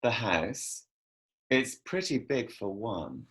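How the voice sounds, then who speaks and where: A man's voice: lowish voice, animated, slow pace, fairly bright tone, exaggerated voice. An actor playing a laid-back, playful male companion, teasing and casual, in a scripted drama read over a video call.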